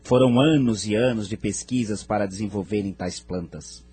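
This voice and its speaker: Dignified alien nobleman for audio drama, played by an actor: deep male, heavily breathy-rough, courteous and measured. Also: warm tone, faint music behind. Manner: measured, formal, explanatory. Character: noble male elder of an advanced alien people, courteous, wise.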